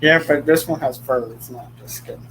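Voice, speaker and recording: A man's voice: on the high side, moderate pace, fairly bright tone, slight background noise, poor audio. A young man hosting a casual livestream chat, joking often and laughing easily. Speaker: livestream host.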